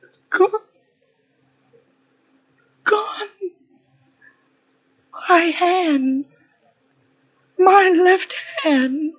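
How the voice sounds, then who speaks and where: A man's voice: very high voice, extremely slow, long pauses, exaggerated voice. An actor playing an obsessed, half-mad pianist who lost his hand, intense and theatrical in a vintage radio mystery. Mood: anguished. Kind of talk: vintage radio mystery acting with first-person narration. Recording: muffled vintage radio drama on cassette.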